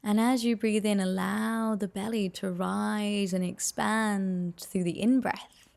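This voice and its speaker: A woman leading a guided meditation with soft, soothing, unhurried and gently encouraging instruction. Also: mid-range voice, steady tone, vocal fry.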